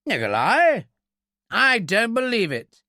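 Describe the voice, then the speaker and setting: A man's voice: high-pitched, wildly swooping pitch, takes it slowly, pushed and bright, no room sound, clean studio sound, pitched above the performer's own voice, heavily acted. A man voicing a blustering, indignant military officer in an audiobook, with a pompous, sneering, emphatic manner. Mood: defensive bluster.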